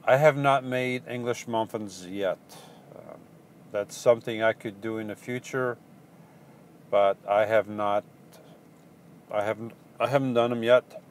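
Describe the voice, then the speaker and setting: A man with a Portuguese accent, a van-life vlogger casually answering viewer questions in a halting, rambling way full of ums and restarts. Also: on the low side, a little hiss or hum. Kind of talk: casual live Q&A chat.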